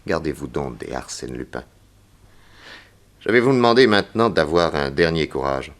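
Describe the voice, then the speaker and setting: Very deep-voiced, grave detective. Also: male voice, lively intonation. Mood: gravely persuasive. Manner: formal, deliberate, insistent. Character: grave, persuasive male detective, solemnly warning a young woman. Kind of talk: 1960s French radio detective serial acting.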